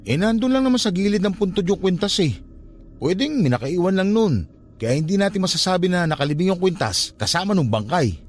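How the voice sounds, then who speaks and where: A man's voice: high voice, expressive, fairly quick. An actor playing a defensive, curious young nephew in a radio drama, protesting and questioning his aunt. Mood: sheepish confessing.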